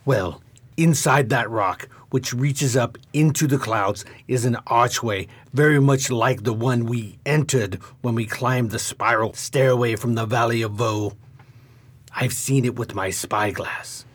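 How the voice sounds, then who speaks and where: A man playing a knowing, reassuring guide in a radio play, husky-voiced and explanatory. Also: mid-range voice, a little slow, close to an everyday voice. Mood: calm, explanatory.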